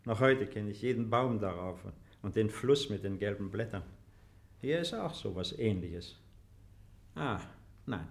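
Gravelly, low-voiced uneasy husband. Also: male voice, lively intonation, unhurried. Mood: nostalgic musing. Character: displaced estate farmer Herbert, uneasy, nostalgic husband. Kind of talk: 1950s German radio play acting. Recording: faintly dated 1950s radio recording.

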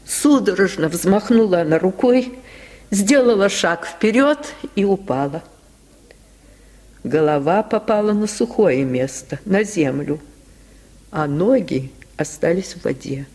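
A woman reading a literary tale in an expressive, theatrical manner, in the style of 1950s radio storytelling. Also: lowish voice. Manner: slow, expressive, theatrical delivery. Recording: 1950s radio archive recording.

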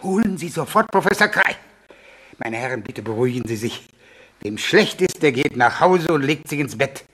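An actor playing a pompous, outraged school headmaster in a German radio play. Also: male voice, mid-range voice, expressive, moderate pace, fairly bright tone, lightly acted. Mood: stern, dismayed. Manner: pompous, indignant.

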